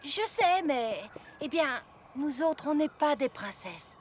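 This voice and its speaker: A woman's voice: high voice, sing-song, slow pace, a little hiss or hum, exaggerated voice. A woman playing a practical, loyal female pony with a frank, folksy way of talking, in a French cartoon dub. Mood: frank, worried.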